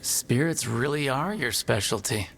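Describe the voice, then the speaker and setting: A man's voice: mid-range voice, expressive, a little slow, gravelly, noisy background. An actor playing a plain-spoken male adventurer in a fantasy video game, talking in a casual, wry way. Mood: earnest.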